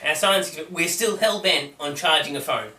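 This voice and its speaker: A man's voice: fairly high pitch, moderate pace, bright, forceful tone, a little hiss or hum. A man co-hosting a casual comedic chat, rambling and self-deprecating and tripping over his words. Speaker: co-host. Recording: echoey room, heard from a distance.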